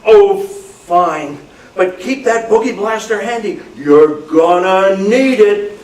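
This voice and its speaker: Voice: medium pitch, sing-song, takes it slowly, a little mellow. A performer voicing an imaginative, stubborn little boy in a live spooky radio play reading, with a whiny, dramatic delivery. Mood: glum, ominous. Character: little boy Ira, excitable, stubborn, imaginative, fearful of boogeymen. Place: club meeting room, live reading. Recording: roomy distant microphone, noisy meeting room.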